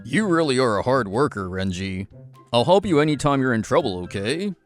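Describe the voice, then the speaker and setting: A man's voice: medium pitch, very animated, brisk, faint music behind, lightly acted. A man playing an affectionate, easygoing brother in a manga drama, teasing and supportive. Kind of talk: scripted manga drama dialogue.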